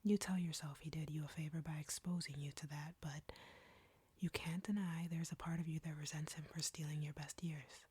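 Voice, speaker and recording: Female vampire captor, acted by a woman, audio roleplay: low, close and dry, whispery, slow, smug and flirtatious. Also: fairly even intonation, dark tone, no echo. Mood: mocking judgment. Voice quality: breathy, whispery. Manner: slow, taunting, condescending.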